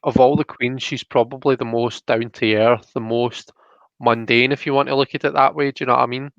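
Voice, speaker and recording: A man's voice: medium pitch, fairly even intonation, fairly quick, gravelly, a bit bright, dry recording, dull audio. A Scottish man giving a casual tarot reading, thinking aloud and often checking that the listener follows. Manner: thoughtful, often checks with "you know" and "do you know what I mean". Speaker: host and tarot card reader.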